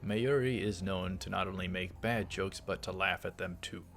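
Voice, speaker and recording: A man reading visual novel text aloud in a measured way, dropping in casual asides as a let's player. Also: deep voice, vocal fry, fairly bright tone. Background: game music.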